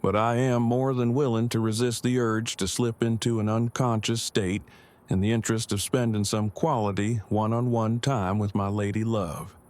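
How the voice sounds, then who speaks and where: A man's voice: on the low side, level tone, normal speed, darkish tone, natural voice. A man playing a drowsy, devoted, nerdy husband in an audio drama, speaking in stilted, formal, wordy phrases. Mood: drowsy affection. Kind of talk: fan audio drama acting.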